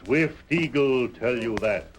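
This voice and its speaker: Slow, solemn old chief. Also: male voice, medium pitch, lively intonation. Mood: defiant, stern. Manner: halting broken English, terse and solemn. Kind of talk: 1940s radio acting. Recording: noisy vintage radio recording.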